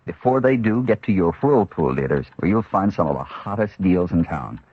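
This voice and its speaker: Deep, husky male ad announcer. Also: expressive, normal speed, no room sound. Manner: urgent sales delivery. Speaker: commercial announcer. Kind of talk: 1970s radio advertising pitch. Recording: dull 1970s radio recording.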